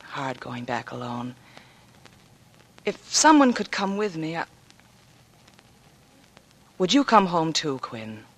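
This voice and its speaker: A woman's voice: deep voice, sing-song, takes it slowly, pauses often, full character voice. An actress playing a young, homesick, world-weary woman, earnest and pleading, in 1940s radio drama style. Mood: wistful, subdued.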